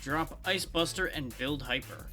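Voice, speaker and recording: A man's voice: on the high side, a little slow, bright, forceful tone. A man giving casual live commentary on a video game, talking to himself in a wry way. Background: video game music.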